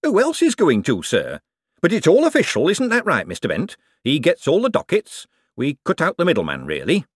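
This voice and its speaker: A man's voice: fairly high pitch, huge pitch swings, fairly quick, slightly rough, no echo, studio quality, pitched above the performer's own voice, exaggerated voice. A man voicing a sly, working-class male mint foreman who uses broad dialect grammar and keeps saying sir. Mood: wheedling.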